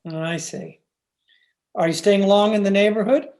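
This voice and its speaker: A woman playing a haughty, disapproving, stuffy married gentleman in an old-time radio drama. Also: mid-range voice, measured pace, lightly acted. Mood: surprised, stiff.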